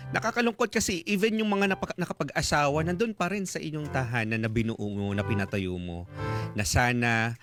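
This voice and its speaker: Man, Filipino accent, average pitch, casual advice talk, sympathetic, frequent tag questions, music behind. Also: brisk, a bit bright. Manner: earnest, sympathetic, often adds tag questions. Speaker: radio co-host giving advice. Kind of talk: casual advice talk, mixing Tagalog and English. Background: music bed with guitar or instruments.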